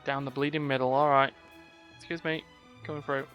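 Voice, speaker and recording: A man's voice: mid-range voice, flat delivery, a little fast, highs cut off. A man streaming a game, giving casual commentary full of muttered asides and wry exclamations. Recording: game music behind.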